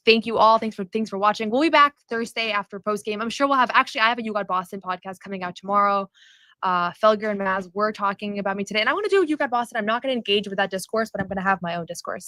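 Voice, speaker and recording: A woman's voice: medium pitch, bright, forceful tone, dry recording. Female host, casual postgame chat, rambly with lots of ums. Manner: chatty, rambling with frequent fillers. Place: arena concourse at a summer league event.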